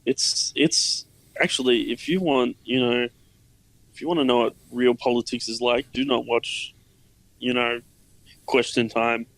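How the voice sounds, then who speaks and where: A man's voice: medium pitch, unhurried, no echo, poor audio. An Australian man co-hosting a gaming podcast, a father with young kids, chatting and joking casually. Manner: relaxed, joking, chuckles often. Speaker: podcast co-host. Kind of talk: casual chat.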